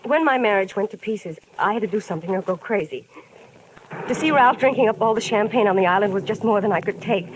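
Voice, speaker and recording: A woman's voice: medium pitch, fast, close to an everyday voice. A woman playing a defensive, pleading, unfaithful wife in a mid-century radio drama. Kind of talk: 1950s radio drama acting. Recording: noisy vintage radio recording.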